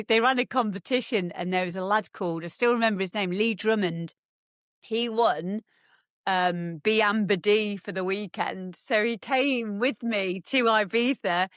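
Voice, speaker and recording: A woman's voice: medium pitch, a bit bright, smooth, no echo, studio quality. A woman, chatting as a podcast guest, rambling with lots of ums and restarts. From England. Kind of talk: casual chat.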